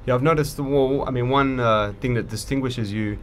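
Male interviewer, medium pitch, calm questions, light background hiss. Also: a little fast. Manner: calm, brief questions and acknowledgments. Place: recording studio.

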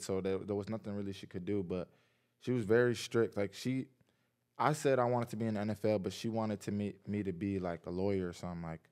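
Laid-back young man, smooth low voice. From Massachusetts, US. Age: 20s. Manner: relaxed, laid-back storytelling with frequent "like". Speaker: guest, pro football player. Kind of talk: casual podcast interview. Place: small indoor podcast studio. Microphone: boom mic on arm beside him.

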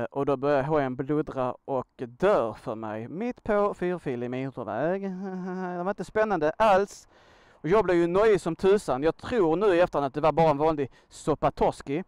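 Male vlogger from Sweden, smooth clear voice a little on the high side, informal and slangy, unscripted. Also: a little mellow, no room sound. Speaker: motorcycle vlogger, host. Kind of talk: casual chatty vlog monologue. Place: outdoors on a motorcycle by a road.

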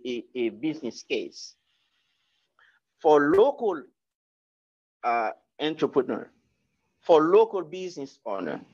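A man giving a guest talk, answering audience questions in a lecture room, halting with many restarts and repeated words. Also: fairly high pitch, fairly bright tone, dull audio. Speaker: guest speaker.

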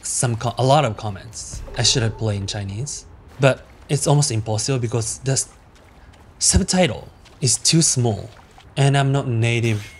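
A man's voice: lowish voice, a little hiss or hum. A Japanese man with a Japanese accent giving casual, playful gameplay commentary, reacting aloud and laughing often. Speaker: gaming streamer.

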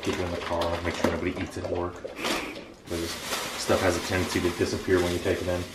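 A young American man with a very low voice talks casually and plainly, like a home vlogger. He is low-key and matter-of-fact. The recording is echoey, with clear room noise behind him. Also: monotone, quick pace. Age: 20s. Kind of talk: casual grocery haul chat. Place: open kitchen and dining room at home. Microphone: camera a few feet away.

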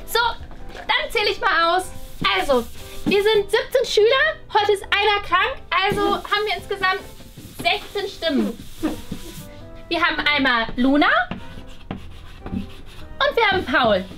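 Casting: pushy, fashion-proud schoolgirl, played by a woman, extremely high, bright voice, dramatic delivery for children's toy play. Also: lively intonation, measured pace, pauses often, faint music behind, heavily acted. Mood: businesslike. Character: bossy, excitable, fashion-proud schoolgirl best friend.

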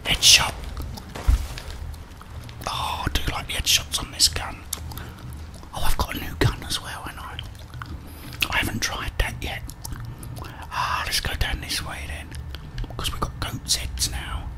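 A man's voice: a little slow, pauses often, rough recording. Whispering British man, ASMR gamer. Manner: muttering to himself, thinking aloud. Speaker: gaming streamer. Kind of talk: whispered ASMR game commentary.

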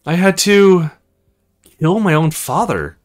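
A man's voice: high-pitched, expressive, takes it slowly, pitched above the performer's own voice. A man reading the lines of a blunt, moody young woman at an academy in a visual novel, with emphatic delivery. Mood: shaken. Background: music.

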